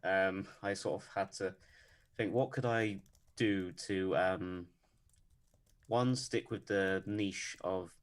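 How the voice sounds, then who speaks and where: Male podcast guest, deep monotone, deadpan delivery, measured and hesitant. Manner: measured, lots of ums and hedges. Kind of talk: casual interview chat.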